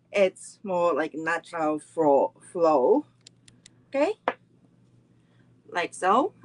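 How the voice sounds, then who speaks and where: Woman, middle-aged, card-making instructor, very slow unhurried delivery, chatty live-class teaching. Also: on the low side, very animated. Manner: slow, unhurried, greets viewers and chats between steps. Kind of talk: live online craft class.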